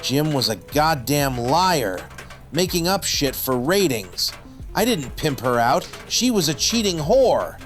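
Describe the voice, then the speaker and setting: An actor playing a middle-aged, washed-up wrestler, bitter, defensive and self-loathing, in a noir radio drama. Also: male voice, on the high side, big pitch swings, takes it slowly, soft background music. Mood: bitter, angry.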